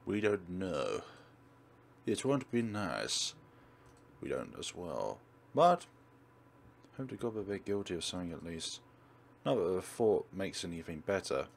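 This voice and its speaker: A man's voice: low voice, very animated, unhurried, vocal fry, pauses often. A man hosting a let's play, dramatically reading a visual novel aloud and commenting on it.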